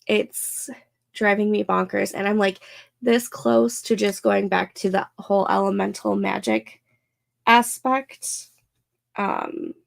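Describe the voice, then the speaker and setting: A young woman with a stuffed-up, congested nose, chatting casually and slowly while hosting a live stream of writing sprints, with many fillers. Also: mid-range voice, animated, vocal fry. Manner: slow, rambling, lots of fillers.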